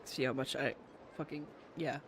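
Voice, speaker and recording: A man's voice: mid-range voice, steady tone, normal speed, creaky, very noisy. A man chatting casually with viewers as he streams a game, rambling and muttering distracted asides. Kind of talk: casual live gameplay chat with viewers. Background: faint game music.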